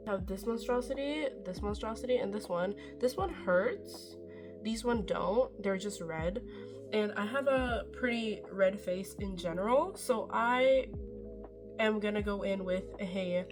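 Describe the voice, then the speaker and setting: Chatty young woman doing a makeup tutorial. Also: medium pitch. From Norway. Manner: chatty, rambling, self-deprecating, swears casually. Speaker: beauty vlogger. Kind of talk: casual makeup tutorial chat.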